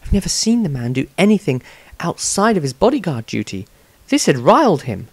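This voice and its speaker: An earnest young priestess acted by a man tells her fantasy story with prim indignation. The delivery is very lively, in a close, dry sound. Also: on the high side, brisk, slightly rough, no echo, pitched above the performer's own voice, full character voice. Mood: concerned, dismayed. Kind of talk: dramatised diary reading.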